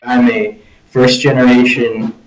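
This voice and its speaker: A man's voice: medium pitch, little pitch movement, a little slow, dull audio. A young man from the United States telling a childhood story with laughter in an interview. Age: young adult. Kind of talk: documentary interview. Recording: roomy and distant mic.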